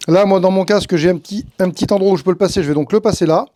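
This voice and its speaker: High-pitched Frenchman with a mellow tone. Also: very fast. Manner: conversational, thinking aloud while handling gear. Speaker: tutorial host, tree-work professional. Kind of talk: informal hands-on tutorial, explaining step by step.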